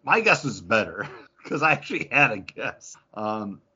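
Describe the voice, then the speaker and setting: A man's voice: on the low side, sing-song, moderate pace, sharp tone, husky. A man co-hosting a casual podcast, chatty and opinionated, acting out little quotes as he talks. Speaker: podcast co-host. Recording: dull lo-fi audio.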